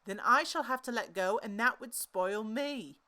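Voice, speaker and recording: A woman voicing an earnest, naive male toy bear who schemes in a deliberate, exclamatory way for a storybook. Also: fairly high pitch, pushed and bright, pitched above the performer's own voice. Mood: pleading.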